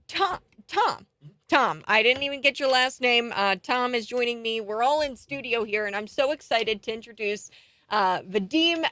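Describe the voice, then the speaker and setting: An American woman hosting a radio talk show in a studio, speaking in an excitable, emphatic way. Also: a little high, no echo. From United States. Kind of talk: talk radio monologue and interview.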